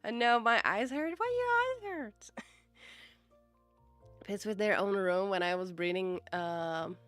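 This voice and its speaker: A woman chats unhurriedly with her stream viewers, drawling and in no rush. She rambles through her thoughts, padding them with fillers, and breaks into laughs often. Soft music plays faintly behind her. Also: medium pitch, lively intonation, slow pace, clear, resonant tone, dry recording. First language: Czech. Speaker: live streamer. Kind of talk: casual chat with viewers.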